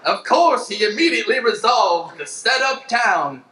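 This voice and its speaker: A man's voice: sits high, big pitch swings, moderate pace, background noise. A man narrating an improvised Western radio serial on stage in a dramatic, folksy style. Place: live improv show on a stage. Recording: echoey stage.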